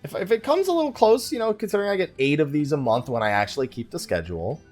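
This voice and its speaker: A man's voice: a little high, sing-song, fast, darkish tone, soft background music. A man giving casual let's play commentary, rambling and thinking aloud as he plays. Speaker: gaming YouTuber hosting a let's play.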